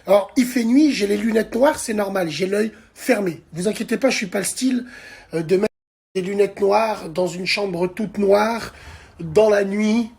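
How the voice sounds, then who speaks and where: Husky, heated man ranting in the dark. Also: high voice. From France. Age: 40s. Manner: heated, crude and insulting, addresses viewers directly. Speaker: social media commentator. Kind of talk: angry political rant to camera. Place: dark bedroom at night. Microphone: phone held at arm's length.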